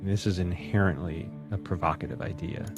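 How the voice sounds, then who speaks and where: A man explaining a story as an editor in a produced video, articulate and measured. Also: deep voice, steady tone. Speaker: magazine editor. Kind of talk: explanatory interview for a promotional video. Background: guitar music bed.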